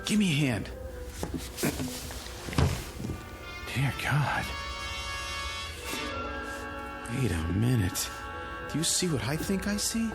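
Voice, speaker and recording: Impulsive spaceship crewman, radio drama, very animated pitch, emphatic, questioning, curious, loud music behind. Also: normal speed, creaky. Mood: shaken.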